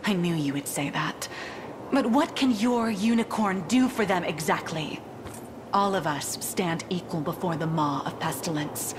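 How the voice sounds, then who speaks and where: A woman's voice: lowish voice, measured pace, noisy background, close to an everyday voice. An actress playing a stern, proud lady commander, with a formal, commanding, sardonic manner in a fantasy game. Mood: weary, solemn. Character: stern, proud lady commander keeping order amid plague. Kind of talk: theatrical fantasy game acting. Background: music.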